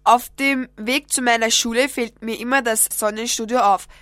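A woman's voice: on the high side, steady tone, normal speed, fairly bright tone. A sixteen-year-old girl from Austria whose first language is Turkish, reading a matter-of-fact prepared text for a radio show. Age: teens.

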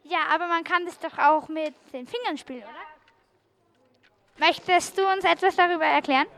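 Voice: very high voice, a little fast, bright, forceful tone. A child reporter doing a live on-location interview, putting questions to people.

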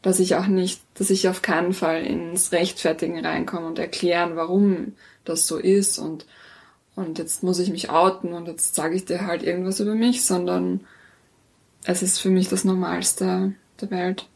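A woman's voice: lowish voice, little pitch movement, moderate pace, vocal fry, a little mellow. A woman with an Austrian accent, an interview guest explaining things casually and often rephrasing herself. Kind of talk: casual reflective interview talk.

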